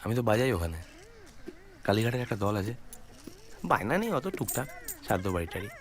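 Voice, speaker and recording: An actor playing a casual, evasive younger brother who plays flute, in a naturalistic drama with clipped talk. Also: male voice, lowish voice, lively intonation, brisk. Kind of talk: naturalistic film drama dialogue.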